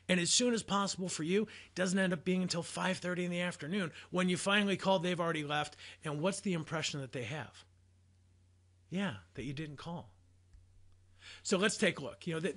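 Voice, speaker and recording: A man with an American accent giving a motivational sales lesson as a coach, phrasing things emphatically and deliberately with rhetorical questions. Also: high voice, fairly bright tone. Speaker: sales coach presenting a training video. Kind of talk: motivational instructional talk to camera.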